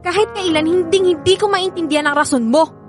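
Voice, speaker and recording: A woman's voice: very high voice, a little fast, faint music behind. An actress with a Filipino accent playing a bitter, grieving young mother who accuses her husband in an emotional radio drama. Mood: bitter, anguished.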